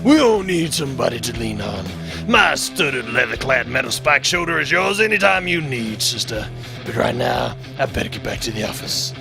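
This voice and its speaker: A man's voice: medium pitch, sing-song, moderate pace, music in the background. A man playing a swaggering heavy-metal messiah in a comedy audio drama, preaching grandiosely in rock slogans. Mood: cool swagger. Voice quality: gravelly.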